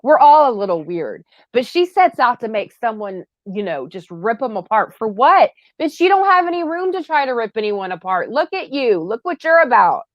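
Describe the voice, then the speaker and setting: A woman's voice: on the high side, big pitch swings, normal speed, few pauses, no echo, muffled recording. A woman host in casual conversation who backs her guest with frequent affirmations and speaks indignantly about the subject. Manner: agreeable, supportive, frequent affirmations. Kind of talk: casual chat on a livestream.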